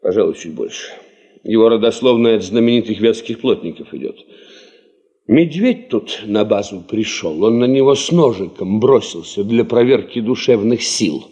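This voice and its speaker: An actor playing a principled, sceptical, cautious geologist who leads a field party in a Soviet radio drama, speaking formally and precisely. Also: male voice, lowish voice, expressive, normal speed, warm tone, close to an everyday voice. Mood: cautious, matter-of-fact.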